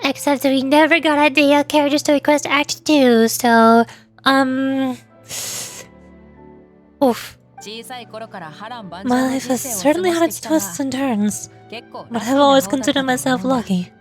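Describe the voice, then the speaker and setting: A woman's voice: sits high, unhurried, long pauses. A woman streamer voicing game dialogue live and adding casual commentary. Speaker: streamer and amateur voice actor. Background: faint game music.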